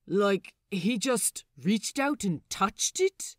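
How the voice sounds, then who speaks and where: A woman's voice: mid-range voice, big pitch swings, drawn-out words, no echo, clean studio sound. A woman voicing a blunt, opinionated male pirate crewman with a dismissive manner in a story reading. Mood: curious.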